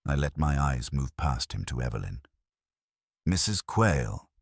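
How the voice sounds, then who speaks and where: Very deep-voiced man narrating detective fiction. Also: a little fast, vocal fry, husky, no echo, studio quality. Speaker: audiobook narrator. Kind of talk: scripted first-person detective fiction narration.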